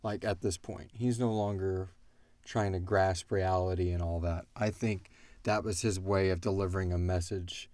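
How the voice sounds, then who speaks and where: A man chatting casually as a podcast host, retelling story scenes in an animated way. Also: low-pitched, moderate pace, dry recording. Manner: animated retelling, acting out characters' lines. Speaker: podcast host and audio drama creator. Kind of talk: casual chat answering listener questions.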